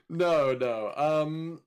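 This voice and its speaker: A man's voice: fairly high pitch, slight background noise. A man chatting casually as a guest voice actor, joking in a mild, hesitant way. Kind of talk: casual chat.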